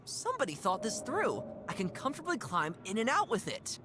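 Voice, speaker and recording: An actor voicing a curious, plucky young animal hero in a cartoon adventure game, with expressive, wry, dramatic delivery over loud music. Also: mid-range voice, brisk, noisy background. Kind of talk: cartoon adventure game voice acting, observational monologue.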